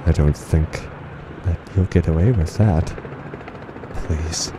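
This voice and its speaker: Horror audio drama: male actor as unhinged blind announcer, deep, slow, formal, brooding, over noisy ambience. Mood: hushed. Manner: theatrical, halting, formal, brooding. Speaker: voice actor playing a radio announcer. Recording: noisy rumbling ambient bed under the voice.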